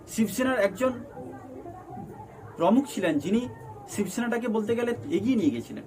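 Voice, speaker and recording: Man, Bengali accent, high voice, very animated pitch, halting emphatic news commentary, noisy background. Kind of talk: scripted news explainer narration. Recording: noticeable background noise.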